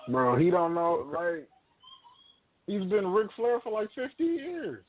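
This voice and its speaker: A man's voice: sits high, wildly swooping pitch, a little slow. A man in his late twenties co-hosting a radio show in casual, slangy, informal chat. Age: late 20s. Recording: phone-like sound with background chatter.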